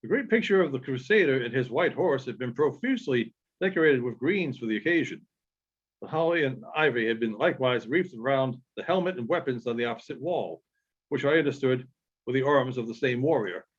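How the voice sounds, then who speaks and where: A man reading literary narration aloud in a halting way, with frequent pauses and small stumbles. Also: mid-range voice, lively intonation, husky. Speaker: audiobook reader. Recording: dull, lo-fi sound.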